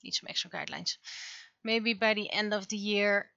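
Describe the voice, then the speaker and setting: A woman with a bright, forward voice talks casually as she draws on a livestream. She muses half to herself and lets thoughts trail off. The recording is dry and close, a little dull. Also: medium pitch, steady tone, moderate pace, gravelly, no echo. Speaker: livestreaming webcomic artist. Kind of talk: casual livestream chat while drawing.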